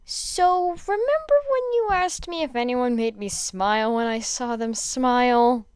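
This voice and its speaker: A woman's voice: fairly high pitch, animated, unhurried, a little mellow, clean tone, dry recording, clean studio sound. A woman voicing a smitten, evasive teenage boy in a story reading, casual and teasing. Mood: coy.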